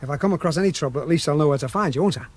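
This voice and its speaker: A man's voice: on the high side, very fast, a little mellow, slightly rough. A man acting in a radio drama as a wary, scheming desert guide with a colloquial, matter-of-fact manner. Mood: low, wary.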